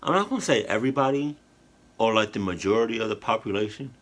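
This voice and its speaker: A man's voice: lowish voice, animated, fast, fairly bright tone. A tired man chatting casually to his viewers in a reaction video, rambling with slang, fillers and restarts. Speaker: YouTube reactor. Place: at home, indoors.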